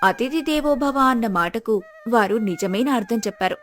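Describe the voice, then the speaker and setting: A woman's voice: a little high, expressive, normal speed, a bit bright. Woman narrating a story over music. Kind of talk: scripted moral-story narration. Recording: music behind the voice.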